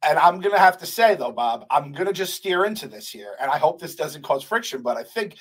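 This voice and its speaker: Animated young man hosting a podcast. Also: a little high, a little fast, slightly rough. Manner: animated, swearing, playful teasing. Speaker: podcast host. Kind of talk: casual chat. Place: studio room with poster wall. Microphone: large studio mic with headphones.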